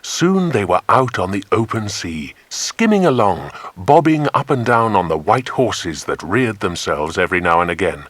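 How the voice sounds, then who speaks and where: A man narrating a children's adventure story in an expressive, scripted audiobook style. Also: fairly low pitch, moderate pace, hardly any pauses, dry recording.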